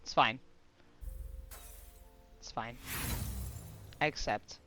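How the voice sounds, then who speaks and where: Female streamer: expressive, bright and unhurried, casual game reactions with mild swearing, music underneath. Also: rumbling low. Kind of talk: casual live commentary on a video game. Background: video game music.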